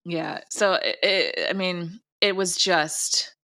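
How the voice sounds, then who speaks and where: A woman's voice: on the low side, expressive, takes it slowly, bright, forceful tone, faint music behind. A woman chatting casually as an interview guest, effusive and emphatic about her work. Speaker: audio drama creator and director, interview guest.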